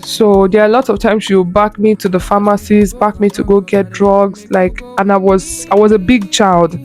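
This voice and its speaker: A woman's voice: mid-range voice, darkish tone. Earnest young Nigerian woman telling her story. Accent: Nigerian Igbo. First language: Igbo. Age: early 20s. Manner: chatty and earnest, with frequent fillers and self-corrections. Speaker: lifestyle creator. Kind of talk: personal storytelling to camera.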